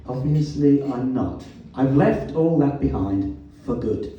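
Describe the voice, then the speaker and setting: A man's voice: mid-range voice, expressive, slow pace, dark tone, pitched above the performer's own voice. A man reading a novel aloud as an evasive, hostile petty crook with a dry, defensive way of talking. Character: evasive, hostile young petty crook, male narrator hero. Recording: echoey room, distant mic, muffled sound.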